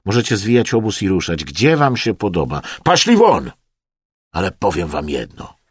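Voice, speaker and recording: A man's voice: sits low, breathy edge, dry recording, clean studio sound, heavily acted. A man voicing a stern British naturalist leading an expedition in an audiobook, speaking firmly and emphatically. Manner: firm, emphatic. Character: stern British naturalist, usually muffled voice, firm leader.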